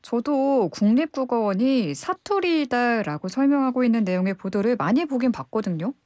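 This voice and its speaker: A woman hosting a news radio program, speaking in a measured, polished announcer's delivery. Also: a little high, expressive, a little mellow, dry recording.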